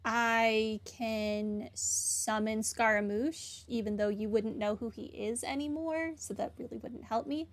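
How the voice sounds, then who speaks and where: A woman's voice: on the high side, fairly even intonation, measured pace, few pauses. A woman hosting a gaming video, giving casual playthrough commentary in wry, chatty asides.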